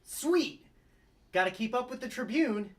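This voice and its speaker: A man's voice: very high voice, expressive, measured pace. A man reading the part of a cheerful, naive young lawyer in an upbeat, eager way. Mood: chipper.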